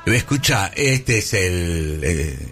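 A man with a Rioplatense accent hosting a casual studio chat, retelling a story in a lively way. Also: deep voice, slow, faint music behind. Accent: Rioplatense Spanish. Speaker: radio show host.

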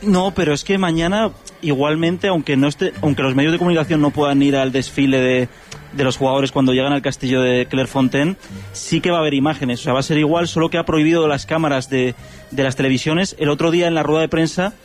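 A man's voice: fairly high pitch, steady tone, soft background music. A man, a football correspondent who chats casually on the radio and holds back on private matters. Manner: quick, hedging, reticent about private matters.

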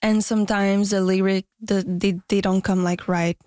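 A woman, answering interview questions as a musician in a soft, hesitant way, with lots of fillers and restarts. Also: mid-range voice, flat delivery, moderate pace, no echo, studio quality. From Quebec, Canada. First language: French and Spanish. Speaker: musician guest.

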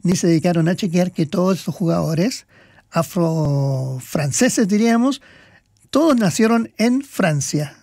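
A man's voice: high voice, creaky, a little mellow, no echo, studio quality. A man co-hosting a casual sports talk segment, rambling through match results with many fillers and hesitations. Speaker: sports commentator co-host. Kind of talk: casual radio sports chat.

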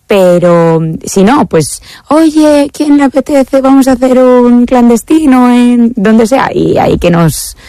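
A woman's voice: fairly low pitch, dark tone, dry recording. A woman with an accent from Spain, answering casually and conversationally as an interview guest. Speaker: interview guest, member of a swing dance association.

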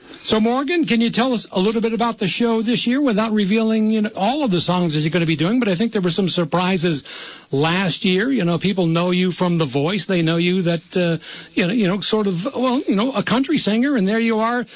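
A man's voice: sits high, brisk, no echo, poor audio. A male radio host interviewing a guest live, rambling and often stumbling over repeated words.

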